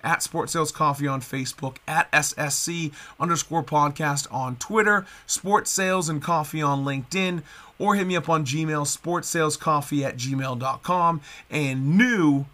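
A man's voice: fairly high pitch, normal speed, pushed and bright. A man in his thirties hosting his own podcast in a chatty, upbeat, rambling way, his voice stuffed up and nasal from a cold. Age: 30s. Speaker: podcast host. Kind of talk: casual solo podcast chat.

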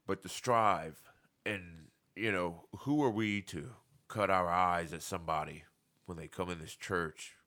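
Low, bright-toned earnest man. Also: measured pace. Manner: earnest, preachy testimony. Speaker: podcast co-host. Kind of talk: casual faith podcast chat.

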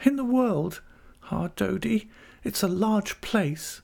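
A man's voice: high voice, lively intonation, takes it slowly, gravelly, a little mellow, pitched above the performer's own voice, exaggerated voice. A man voicing a timid, childlike young wife, affectionate and naive, with a pleading, cooing delivery in an audiobook of a classic novel. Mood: coaxing.

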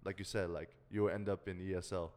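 A man's voice: deep voice, level tone, brisk, darkish tone, clear, resonant tone, long reverb tail. A young man co-hosting a casual podcast chat, slangy and full of fillers, with lots of "dude" and "you know what I mean.". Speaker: podcast co-host.

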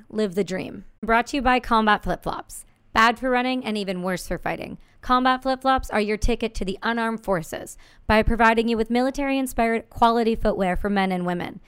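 A woman reading scripted sponsor ads for a podcast in a brisk, promotional way. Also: a little high, clear, resonant tone, no room sound, clean studio sound. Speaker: ad reader.

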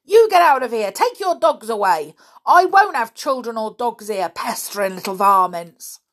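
A woman's voice: fairly high pitch, expressive, normal speed, a bit bright, pitched above the performer's own voice. A woman voicing a deaf, bad-tempered old man who bellows and threatens, in a storybook reading. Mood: furious.

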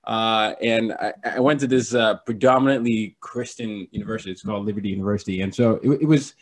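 A man with a fairly low voice speaks as a podcast guest. He is from Nigeria and talks in a relaxed, joking storytelling way. He laughs easily between his stories. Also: fast talker. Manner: playful, joking, laughs often. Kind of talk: casual storytelling interview.

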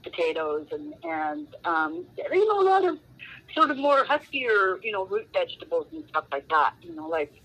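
A woman's voice: medium pitch, sing-song, moderate pace, a bit bright, poor audio. A woman, a guest author chatting in an interview, rambling and full of fillers like um and you know. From Canada. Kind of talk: casual interview chat.

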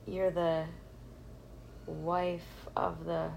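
A young woman co-hosting a podcast in casual chat, giggly with quick reactions. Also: lowish voice, little pitch movement, vocal fry, darkish tone, a little hiss or hum, rough recording. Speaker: podcast co-host.

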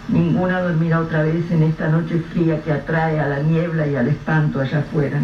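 Male Rioplatense narrator for a radio play, measured storytelling, dull sound with faint hiss. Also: fairly high pitch, little pitch movement, reverberant, far from the mic. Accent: Rioplatense Spanish.

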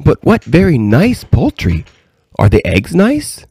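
An inquisitive little princess from a storybook, acted by a man raising his pitch. She sounds innocent and eager, forever asking questions, in a dry, close recording. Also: huge pitch swings, slow, a little mellow, husky, no room sound, exaggerated voice. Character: curious, eager little princess, a young girl.

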